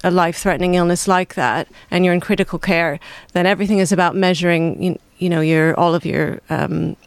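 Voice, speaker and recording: A Canadian-accented author answering interview questions in a measured, reflective way. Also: medium pitch, fairly even intonation, smooth, dry recording. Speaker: author guest.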